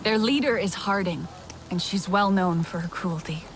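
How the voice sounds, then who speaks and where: A woman playing an earnest, steady young alien clan warrior in a video game. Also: fairly low pitch, normal speed, slight background noise, natural voice. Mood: grim, earnest.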